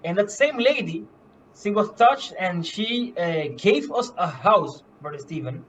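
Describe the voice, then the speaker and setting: A man from Curaçao, a medical missionary, giving an earnest, halting faith testimony full of fillers and restarts. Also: sits high, animated, fairly bright tone, slight background noise, low-quality recording. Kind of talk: personal faith testimony in a live stream.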